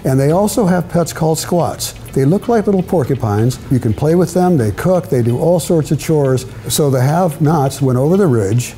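A man's voice: fairly high pitch, expressive, moderate pace, hardly any pauses, mellow, a little hiss or hum. An older man, a children's author, telling stories as an interview guest. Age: older, with grandchildren. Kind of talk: interview storytelling. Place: indoor studio set with brick walls, seated at a table. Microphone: lapel mic.

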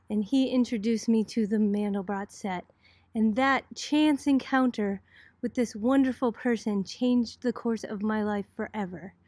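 A woman's voice: on the high side, a little mellow, no echo. A middle-aged woman from Boston teaching in a casual, chatty, explanatory way, like an informal college video lecture. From Boston, United States.

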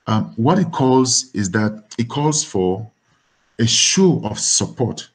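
A man with a Cameroonian accent gives an academic lecture on a video call in a husky voice, deliberate and halting, sometimes repeating words. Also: medium pitch, lively intonation. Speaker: academic philosopher, webinar speaker. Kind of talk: academic lecture over slides. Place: dim room indoors, on a video call. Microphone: computer microphone on a video call.

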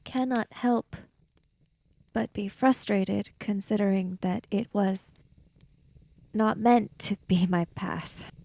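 A woman's voice: mid-range voice, steady tone, slow pace, gravelly, pauses often, warm tone. A woman improvising narration and dialogue as a player in a tabletop role-playing game.

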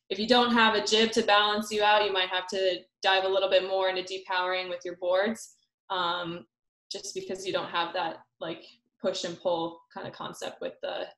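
A woman's voice: lowish voice, fairly bright tone. Young woman presenting, roomy echo. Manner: casual, many fillers. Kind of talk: online sailing seminar. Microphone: video call. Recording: roomy echo on call audio.

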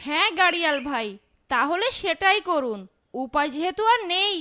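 Caring village mom in a cartoon, super high bright voice, polite n expressive. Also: female voice, moderate pace, exaggerated voice. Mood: gentle. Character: caring village mother and wife. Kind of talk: cartoon fairytale voice acting.

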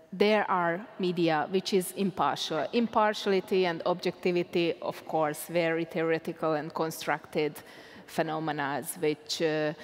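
Woman on a reverberant stage. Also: fairly low pitch. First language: Hungarian. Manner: searching for English words, many restarts. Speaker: panel guest, journalist. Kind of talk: panel discussion answer. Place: stage of a large hall. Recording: long hall reverb.

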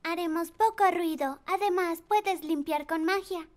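A woman's voice: very high voice, a little slow. A woman voicing a cheerful, bossy little girl, a playful big sister in a children's cartoon dub, bubbly and coaxing. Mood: excited, playful.

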